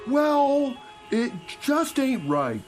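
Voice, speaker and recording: A man's voice: very high-pitched, big pitch swings, extremely slow, faint music behind, exaggerated voice. An actor playing a cheerful, folksy old homeless man in a radio drama. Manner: folksy, drawling.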